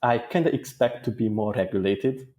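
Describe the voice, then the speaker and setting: A man answering interview questions as a guest, in a thoughtful, measured way with small restarts. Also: on the low side, mellow. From Hungary. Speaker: guest data engineer.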